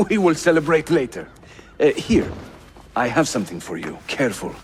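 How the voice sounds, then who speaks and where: Lively, high-pitched roguish man. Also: normal speed, slight background noise. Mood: wry, friendly. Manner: playful, brotherly. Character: jovial, roguish Turkish assassin ally. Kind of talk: video game cutscene acting.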